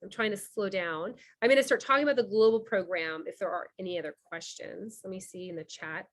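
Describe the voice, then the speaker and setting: A woman from Cuba hosting an online member orientation, talking fast and chatty with many fillers over a video call. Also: mid-range voice. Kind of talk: casual online presentation.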